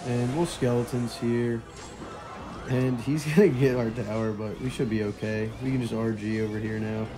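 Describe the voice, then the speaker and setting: A young man with a hoarse, partly lost voice, casually commentating a mobile strategy game and chuckling quietly as he plays. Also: mid-range voice, moderate pace, music in the background. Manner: relaxed, chuckles quietly while playing.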